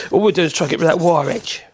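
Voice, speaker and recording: A man with a cold, hoarse, chatting in a rambling way as he demonstrates in his workshop. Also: on the high side, a little mellow, slight background noise. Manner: rambling, chatty, self-correcting, chuckles. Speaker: woodworking channel host.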